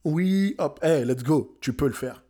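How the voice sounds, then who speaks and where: A man's voice: fairly high pitch, lively intonation, unhurried, mellow. A young man from France giving a rousing motivational talk, emphatic and dropping English phrases into his French. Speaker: motivational speaker.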